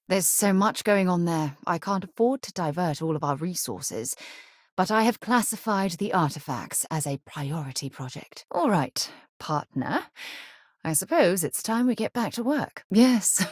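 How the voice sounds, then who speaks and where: A composed female space explorer from a video game, with a low voice and very dry, close studio sound. She speaks in measured, articulate phrases, earnest and principled, with a wry edge that suits a former soldier. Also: a little mellow, no room sound. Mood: warm, wry. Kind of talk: video game dialogue acting.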